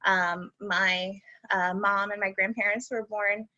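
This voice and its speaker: A woman from the United States talks casually about her novel, pausing now and then. Her voice is bright and forward. The video-call audio is a little dull. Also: mid-range voice, level tone. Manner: casual, lightly hesitant. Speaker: author. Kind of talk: book reading and talk.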